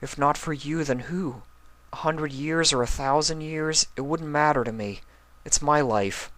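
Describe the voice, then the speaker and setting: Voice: medium pitch, unhurried, a bit bright, voice pushed up, natural voice. A performer voicing a gallant, devoted young male pony in a cartoon fan story, with an earnest, heartfelt manner. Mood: pleading.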